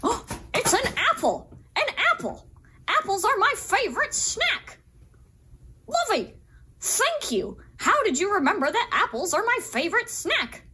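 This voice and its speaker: A woman voicing a sweet, excitable young bear cub in a children's puppet show, childlike and earnest. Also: very high-pitched, a little slow, full character voice. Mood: thrilled.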